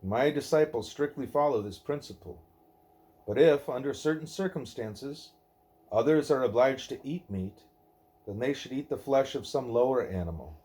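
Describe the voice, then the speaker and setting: Male reader, mid-pitched and very mellow, deliberate scripted narration of a spiritual dialogue. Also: expressive. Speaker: reader of a devotional book; parent reading aloud at a child's bedtime.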